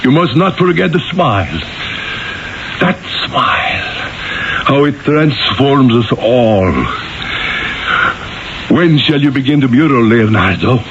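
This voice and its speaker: An actor playing an excitable, adoring husband, a wonderstruck silk merchant in a 1970s radio drama. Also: male voice, medium pitch, takes it slowly, pauses often. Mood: reverent delight. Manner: effusive, wonderstruck. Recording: hissy, muffled old radio recording.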